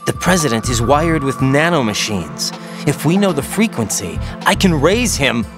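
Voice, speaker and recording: An actor playing an earnest, naive young special forces operative in a video game, with a questioning military manner. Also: male voice, mid-range voice, sing-song, moderate pace, music in the background. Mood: focused. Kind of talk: dramatic game cutscene acting.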